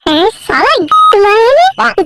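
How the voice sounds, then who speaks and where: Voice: extremely high pitch, very animated, slow pace, gravelly, heavily acted. A performer voicing a boastful, foul-mouthed drunk man in a comic cartoon, slurring and repeating himself as he blusters, with music behind. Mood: belligerent. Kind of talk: exaggerated comic cartoon dialogue. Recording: loud music bed behind voice.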